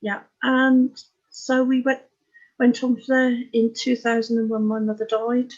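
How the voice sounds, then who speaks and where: A woman in her sixties with an English accent, telling her life story as an interview guest in a frank, halting, matter-of-fact way. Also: fairly high pitch, monotone, a little mellow, lo-fi sound. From Oxfordshire, England. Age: 60s. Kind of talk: candid personal life story in conversation.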